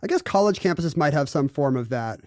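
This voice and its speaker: Wry man co-host, fairly high voice. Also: lively intonation, a little mellow, no room sound, studio quality. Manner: quick, wry, explaining facts. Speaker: podcast co-host. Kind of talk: casual chat.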